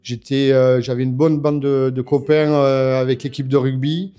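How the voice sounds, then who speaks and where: A man's voice: mid-range voice, flat delivery, moderate pace, mellow, smooth. A man in his fifties from France, telling his story as a guest in a casual interview, with many hesitations and restarts. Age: 50s.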